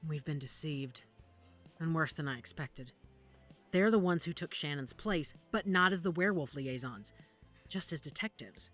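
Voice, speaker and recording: A woman acting in an audio drama as a guarded, worried werewolf investigator who speaks gravely and deliberately. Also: sits low, a bit bright. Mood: grim, alarmed. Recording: music under the dialogue.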